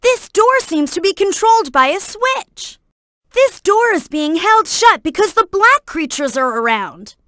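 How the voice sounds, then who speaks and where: A woman voicing a young boy fox sidekick in a video game, earnest, helpful and excitable, with clear childlike delivery. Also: very high-pitched, a little slow, no echo, clean studio sound, exaggerated voice. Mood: earnest, instructive. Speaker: video game character giving hints. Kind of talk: game voice acting, tutorial hints and alerts.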